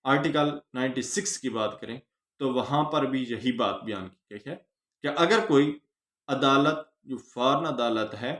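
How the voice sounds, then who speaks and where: A man's voice: mid-range voice, unhurried, fairly bright tone. A man with a Pakistani accent giving an instructional law lecture, didactic and emphatic, posing questions and answering them with English terms mixed in. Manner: didactic, emphatic stress on phrases, mixes in English legal terms. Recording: roomy, echoing voice recording.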